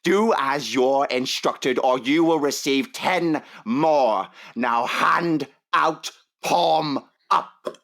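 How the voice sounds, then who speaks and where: A man playing a stern, greedy, pompous workhouse master in a radio drama, speaking in a commanding, deliberate way. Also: mid-range voice, gravelly, fairly bright tone, exaggerated voice. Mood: stern, threatening. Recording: long hall reverb, music behind.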